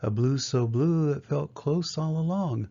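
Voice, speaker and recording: Wistful storybook little boy, older male reader, earnest rhyming lilt, mellow husky tone, dull audio. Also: a little high, a little slow. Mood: wistful, hopeful. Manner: earnest, sing-song rhyming. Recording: dull, muffled lo-fi sound.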